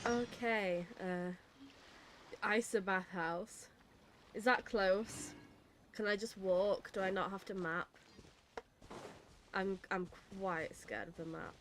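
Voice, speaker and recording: A woman's voice: medium pitch, fairly even intonation, slow pace, pauses often, fairly bright tone, a little hiss or hum. A woman with a British accent giving casual, chatty, wry commentary while playing a game. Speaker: psychologist streaming a game.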